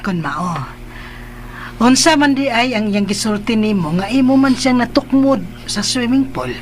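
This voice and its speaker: A woman's voice: on the high side, animated, unhurried, soft background music. A woman playing a caring, probing older mother figure in a Filipino radio drama.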